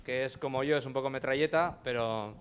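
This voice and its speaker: A man's voice: mid-range voice, fairly quick, clear, resonant tone. A man moderating a panel, introducing each speaker in a loose, chatty way with many fillers.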